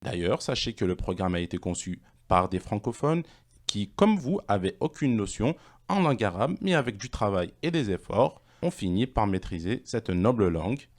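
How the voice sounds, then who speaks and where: A man presenting an online language course, reading questions and answers in a measured, explanatory way. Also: fairly low pitch, big pitch swings.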